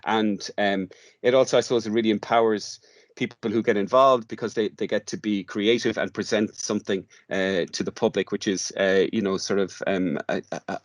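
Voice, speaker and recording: Irish male interview guest, lower-pitched, halting and conversational, close dry sound. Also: dry recording. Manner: hesitant, halting delivery with repeated words. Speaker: guest, radio drama workshop artist.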